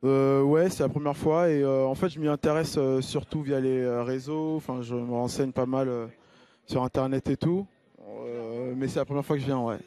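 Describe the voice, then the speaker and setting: A man's voice: mid-range voice, fairly even intonation, moderate pace, warm tone. A man in his thirties, a musician whose first language is Lingala, chatting hesitantly in an interview into a handheld mic in an outdoor square. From Congo-Kinshasa. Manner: hesitant, many fillers and restarts. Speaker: musician guest. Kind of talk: casual interview chat. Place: outdoor square stage with colored drapes. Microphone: handheld mic held by host. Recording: guitar playing behind. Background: acoustic guitar.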